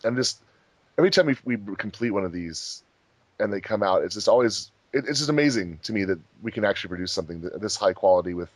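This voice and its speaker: A man's voice: on the low side, expressive, fast talker, dry recording. A man hosting a fan dub commentary track in casual chat, with dry, teasing humour.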